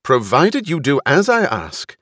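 A man voicing a resolute noblewoman who rules, speaking in a formal, deliberate and authoritative manner in an audiobook. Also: low-pitched, animated, a bit bright, no echo, clean studio sound, full character voice. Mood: stern, commanding. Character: stern, commanding noblewoman ruler, measured and resolute.

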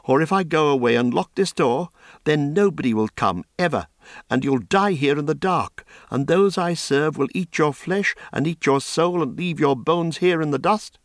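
A man's voice: on the high side, lively intonation, normal speed, dry recording, studio quality, voice pushed up. A man voicing a proud, fierce young priestess in a storybook reading, scornful and threatening. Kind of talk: audiobook character reading.

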